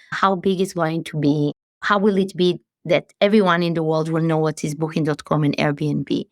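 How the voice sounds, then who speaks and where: Late-40s woman from israel, lowish voice, dry close sound, earnest chatty guest. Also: dry recording. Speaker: CEO guest. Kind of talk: interview conversation.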